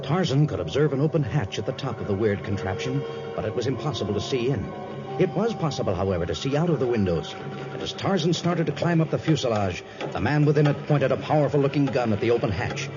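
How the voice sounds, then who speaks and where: A man's voice: medium pitch, animated, fast talker. A man narrating a 1950s radio adventure serial in a dramatic, scripted style. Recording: muffled 1950s radio recording. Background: loud dramatic music.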